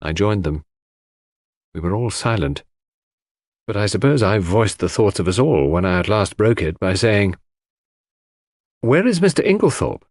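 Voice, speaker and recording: A man's voice: deep voice, moderate pace, pauses often, a little mellow, no room sound, clean studio sound. A man narrating a first-person mystery audiobook in a dramatic storytelling manner.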